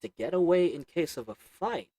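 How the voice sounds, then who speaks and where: Voice actor as a cunning goblin shopkeeper, haggling patter, lively up-and-down delivery. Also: mid-range voice, normal speed, slightly rough, no echo. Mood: sly. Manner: wheedling, sarcastic salesman patter; chuckles between lines. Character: sly haggling goblin potion merchant. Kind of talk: audio roleplay acting to the listener.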